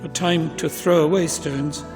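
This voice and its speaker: An older man giving a solemn, measured scripture reading at a funeral. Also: on the high side, steady tone. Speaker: funeral reader. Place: inside a church at a funeral service. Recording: reverberant church, music in background.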